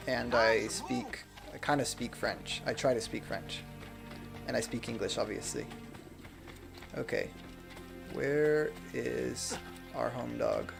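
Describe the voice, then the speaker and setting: A young adult man streaming a game from home, chatting casually and reading viewer comments aloud in a soft, self-deprecating way, speaking into a desk microphone. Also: mid-range voice, vocal fry. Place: home room. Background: game music.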